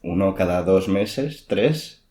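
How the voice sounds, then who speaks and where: A man's voice: low voice, animated, slow pace, mellow. A young man with a Spanish accent from Spain, chatting casually as an interview guest. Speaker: interviewee, amateur dubber and YouTuber.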